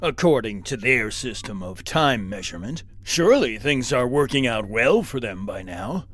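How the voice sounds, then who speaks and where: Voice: medium pitch, expressive, moderate pace, a bit bright. An actor playing a grand, commanding and breezily optimistic alien supervisor in a sci-fi satire audio play. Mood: breezy, confident. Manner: pompous, authoritative.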